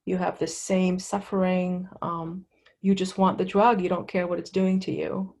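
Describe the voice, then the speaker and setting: A middle-aged woman from Lebanon giving candid personal testimony as an interview guest, in a halting, conversational way. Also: on the low side, little pitch movement, darkish tone.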